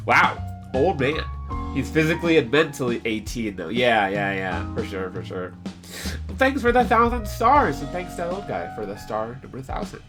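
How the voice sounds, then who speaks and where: Animated, slightly stuffed-up man streaming over music. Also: mid-range voice, moderate pace. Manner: excitable, playful, rambling. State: slightly stuffy nose from congestion. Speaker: live streamer and reader. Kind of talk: casual chat with live stream viewers. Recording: music behind the voice. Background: background music with guitar.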